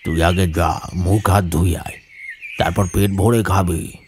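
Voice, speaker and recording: A man playing an affectionate, heavyset old village grandfather in a Bengali audio story, speaking in halting, drawn-out phrases. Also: low voice, fairly even intonation, a little mellow, slight background noise. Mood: grave, fond. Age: older.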